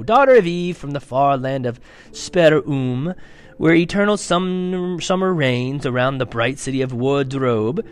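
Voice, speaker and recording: A man doing a fussy, excitable faun. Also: on the high side. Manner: formal, flustered, repeats himself. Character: polite, fussy male faun, shy and excitable.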